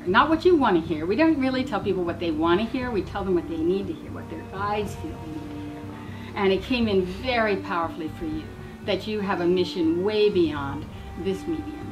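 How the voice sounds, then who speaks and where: A woman's voice: medium pitch, moderate pace, rough recording. Woman doing a psychic reading, encouraging, music playing behind her. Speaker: psychic reader. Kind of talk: giving a spoken psychic reading.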